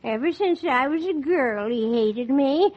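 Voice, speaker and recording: An actress playing a cranky, suspicious old recluse in a 1940s radio drama, snappish and rambling in a folksy way. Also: female voice, high-pitched, lively intonation, measured pace. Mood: gloating, rambling. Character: cranky, suspicious old backwoods recluse woman, cackling and defiant. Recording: dull vintage 1940s radio recording.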